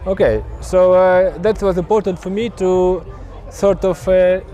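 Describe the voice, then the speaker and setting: A man with a high yet mellow voice interviews a guest at an outdoor event. He talks haltingly, with many fillers and restarts. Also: fairly even intonation, some chatter behind. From Ukraine. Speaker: YouTube host interviewing at an event. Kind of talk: casual on-site interview.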